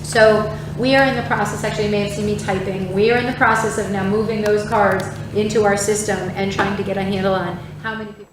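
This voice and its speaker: Loud woman far off in an echoey room. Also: medium pitch, fairly even intonation, fast, hardly any pauses, background noise. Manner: loud, chatty, laughs easily. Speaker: school administrator. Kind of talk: board meeting presentation. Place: large meeting room. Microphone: no microphone, speaking up.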